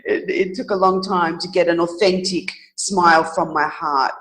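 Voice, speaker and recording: A woman answering interview questions in a casual, reflective way, earnest and halting, pausing to think and correcting herself. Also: lowish voice, fairly even intonation, fairly bright tone, rough recording. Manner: earnest, halting, thoughtful pauses with self-corrections. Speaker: interview guest, author.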